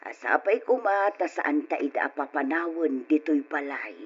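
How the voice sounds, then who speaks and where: An actor playing an affectionate mother of a grown son in an old radio drama, unhurried and emotive, with an Ilocano accent. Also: mid-range voice, expressive, close to an everyday voice. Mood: tender, serious. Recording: dull lo-fi radio recording with music.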